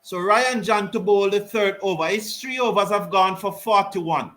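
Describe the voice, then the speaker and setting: A man giving live ball-by-ball cricket commentary and reading out viewer comments, excitable on big hits. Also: high voice, moderate pace. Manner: lively, rises excitedly on big shots, Caribbean English phrasing. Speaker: cricket watch-along commentator.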